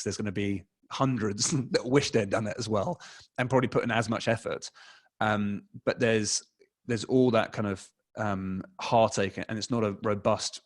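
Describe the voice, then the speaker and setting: An English man in his forties talks loosely on a video call, circling his points with ums and self-corrections. His voice sits a little low, picked up by a desk mic in a small home room. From England. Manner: rambling, many fillers and restarts. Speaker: guest, advertising expert. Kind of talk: casual interview answer.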